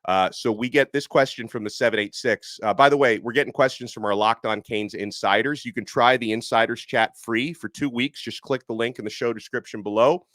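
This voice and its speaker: A man hosting a casual sports podcast, animated and excitable, his voice a little hoarse from yelling. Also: medium pitch, fairly quick, few pauses, no room sound. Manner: animated, excitable, with frequent fillers. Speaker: podcast host.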